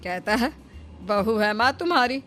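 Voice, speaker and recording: A woman's voice: fairly high pitch, animated, measured pace, fairly bright tone, faint music behind. A woman voicing a bossy, ailing mother-in-law. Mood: grumbling. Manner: complaining, bossy. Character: ailing possessive middle-aged mother-in-law. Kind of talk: cartoon voice acting.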